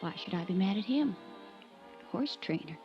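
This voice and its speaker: A woman's voice: medium pitch, animated, moderate pace, close to an everyday voice. A woman playing a spiteful, wounded young daughter in a 1950s radio drama, arch, sarcastic and theatrical. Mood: defensive, wounded. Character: bitter, mocking young rich rancher's daughter, spiteful and wounded. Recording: dull vintage radio recording with music.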